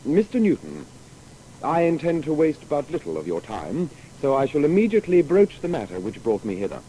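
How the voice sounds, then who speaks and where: An earnest gentleman of science from centuries past, courteous and formal in his archaic phrasing. The voice is mellow and very expressive, in a radio drama with slight noise. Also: a little high, fairly quick, rough recording. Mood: eager, questioning. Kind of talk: period radio drama acting.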